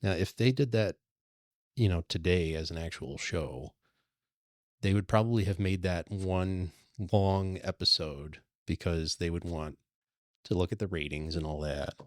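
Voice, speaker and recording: A man with a deep voice talks casually as a podcast panelist. He is recorded dry, with little sense of the room around him. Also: unhurried, no echo. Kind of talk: casual chat.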